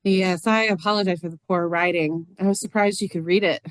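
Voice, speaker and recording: A woman's voice: lowish voice, fairly even intonation, brisk, dry recording, lightly acted. A woman playing a plain-spoken, lonely, literal-minded farm neighbor in a stage reading, earnest and matter-of-fact. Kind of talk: stage reader's theater acting.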